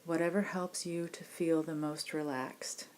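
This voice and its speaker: A woman meditation teacher giving guided body scan instructions in a gentle, unhurried, reassuring manner. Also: sits low, little pitch movement, slight background noise, poor audio. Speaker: meditation teacher leading a class program.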